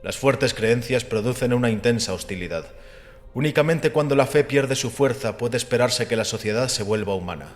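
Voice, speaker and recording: A man voicing a calm, assured and scornful critic of religion, measured and didactic, in a dramatized audiobook. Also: medium pitch, natural voice. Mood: assertive, scornful. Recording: long reverberant hall with music behind. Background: music.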